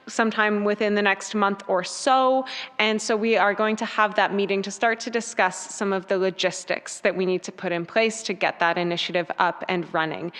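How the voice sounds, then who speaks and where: Clear-voiced woman in a reverberant hall. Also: mid-range voice, fairly bright tone. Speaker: church worship leader giving announcements. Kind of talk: church announcements.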